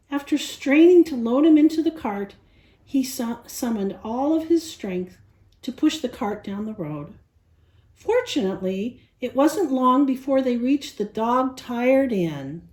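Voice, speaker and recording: Animated, high-voiced woman storyteller, roomy sound. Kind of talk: animated children's story reading.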